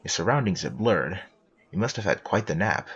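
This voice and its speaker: A man playing a playful second-person narrator, reading scripted comic narration in a husky voice. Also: deep voice, expressive, normal speed, a bit bright, lo-fi sound, exaggerated voice. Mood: scene setting.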